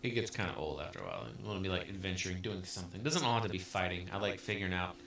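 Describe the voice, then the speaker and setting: A man's voice: low voice, very fast, fairly bright tone. Joking, foul-mouthed gamer man. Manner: joking, swears freely. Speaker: player. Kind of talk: casual tabletop play. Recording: echoey room, faint music.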